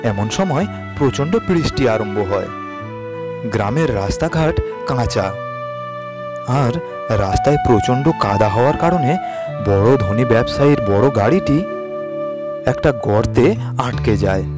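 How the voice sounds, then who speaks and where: A man narrating a scripted moral tale as a storyteller for an animated cartoon. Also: mid-range voice, unhurried, long pauses. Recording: loud music under narration.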